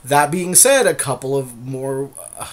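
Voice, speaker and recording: A man's voice: medium pitch, animated, unhurried. A man co-hosting a podcast, chatting casually and agreeably with lots of fillers. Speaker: podcast co-host. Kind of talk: casual card-draft chat.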